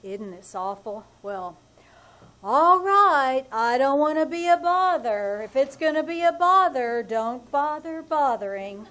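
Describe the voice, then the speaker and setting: Woman cast as exasperated husband, dry wit, vintage radio comedy, high voice. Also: expressive, unhurried, a bit bright. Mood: wry. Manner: dry, wry, sighing asides. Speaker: actor in live old-time radio play. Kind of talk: 1940s radio comedy acting. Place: theater stage.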